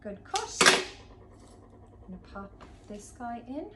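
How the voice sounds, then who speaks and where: Lady doing a chatty casual how-to, highish voice, bit far from the mic w some noise behind. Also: very animated, takes it slowly. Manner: chatty, informal. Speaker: florist presenting a tutorial. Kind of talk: casual hands-on demonstration. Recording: roomy echo, distant mic, background noise.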